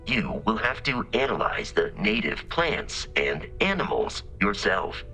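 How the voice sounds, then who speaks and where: An actor playing a polite, literal-minded exploration robot in a video game, speaking in formal, precise, measured deadpan. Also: male voice, on the low side, faint music behind, exaggerated voice. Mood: informative, measured. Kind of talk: scripted video game character dialogue.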